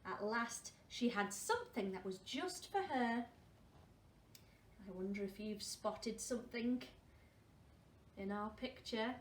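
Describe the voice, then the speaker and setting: A woman reading a story aloud to young children as a school storyteller, adding questioning asides to her listeners. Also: a little high. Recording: roomy, distant mic, faint noise.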